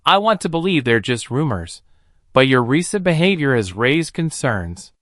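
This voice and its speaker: Man reading as a gentle, calm female teacher. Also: mid-range voice, no room sound, studio quality. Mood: concerned. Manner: gentle, measured. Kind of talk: dialogue in a narrated story.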